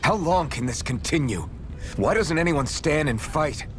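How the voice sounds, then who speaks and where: An actor playing an earnest young masked slave in a video game, stoic and quietly defiant. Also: male voice, a little high, lively intonation, normal speed, over music, lightly acted. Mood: earnest, frustrated. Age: young adult. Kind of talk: video game cutscene voice acting.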